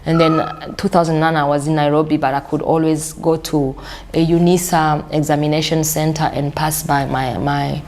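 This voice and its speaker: Woman in her 30s, slightly low pitch, chatty interview guest who circles back and repeats herself as she tells her story. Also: flat delivery, normal speed. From Burundi. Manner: warm storytelling with frequent restarts and repeated words. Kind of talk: casual conversational interview.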